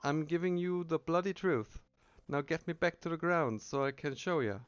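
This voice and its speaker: A man's voice: fairly high pitch, normal speed, smooth, no echo, highs cut off, voice pushed up, natural voice. A man voicing a chubby talking bird merchant in a game, cheeky, grumbling and boastful. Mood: insistent. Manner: grumbling, boastful salesman patter.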